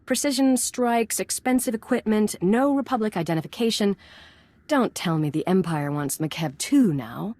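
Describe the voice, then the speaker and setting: A woman's voice: medium pitch, lively intonation, moderate pace, dark tone, dry recording, natural voice. Woman doing a cynical lady exec in a game, clipped and sardonic, close dry studio sound. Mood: scornful. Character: brash, cynical corporate boss bargaining for survival. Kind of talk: video game dialogue acting.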